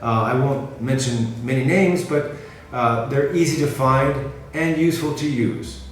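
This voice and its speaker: A middle-aged American man from California, talking casually to the camera in a measured way and often rephrasing himself. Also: medium pitch. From California, USA. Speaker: freelancer presenting himself. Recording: very echoey room, mic at a distance, music behind.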